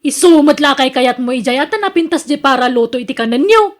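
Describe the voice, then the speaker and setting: A woman's voice: sits high, animated, fairly quick. A woman with an Ilocano accent playing a jealous, nagging wife in a radio drama, accusing and sarcastic. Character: jealous, nagging, suspicious wife of a working man.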